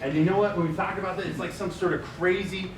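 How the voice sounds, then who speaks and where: A man with a loud voice giving a chatty panel talk and putting questions to the audience. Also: sits high, fairly even intonation, fast talker. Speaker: panel speaker. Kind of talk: conference presentation. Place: large office meeting room. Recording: echoey room, distant mic, noisy.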